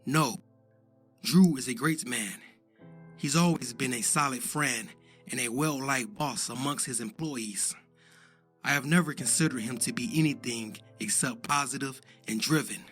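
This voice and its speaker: A loyal friend gives testimony in a mid-pitched voice, as an actor plays him. He speaks plainly and sincerely. Faint music plays underneath. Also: measured pace, fairly bright tone, close to an everyday voice. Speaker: witness, lawyer friend.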